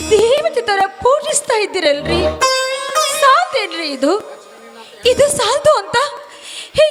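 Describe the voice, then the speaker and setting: A woman playing a devoted, pleading young wife in a melodramatic stage drama, with emotional, drawn-out delivery. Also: extremely high pitch, exaggerated voice. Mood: grateful, emotional. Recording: loud music over the voice.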